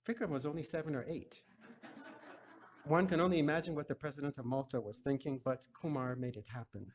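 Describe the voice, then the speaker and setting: A man speaking at a memorial in a measured, solemn way. His voice sits at an ordinary middle pitch. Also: a little mellow, slight background noise. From Ghana. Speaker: eulogy speaker. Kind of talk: memorial eulogy.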